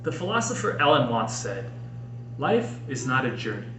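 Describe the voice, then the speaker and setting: A man in his late thirties reading his own personal writing aloud, earnestly and emphatically, with dramatic pauses. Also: mid-range voice, very animated, a bit bright. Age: late 30s. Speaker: author and podcast host reading own book. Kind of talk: expressive audiobook-style personal narration. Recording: roomy echo, faint background noise.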